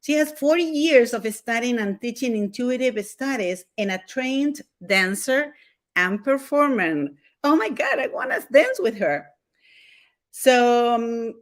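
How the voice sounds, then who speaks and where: A woman's voice: fairly high pitch, expressive, measured pace. A woman hosting an online interview show, introducing her guest in an effusive, gushing way. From USA. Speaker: host.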